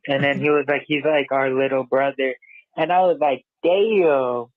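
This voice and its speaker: A man's voice: mid-range voice, no echo. Young man calling in over a tinny phone line. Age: young adult. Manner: slow, flat delivery. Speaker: caller. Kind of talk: phoned-in casual chat.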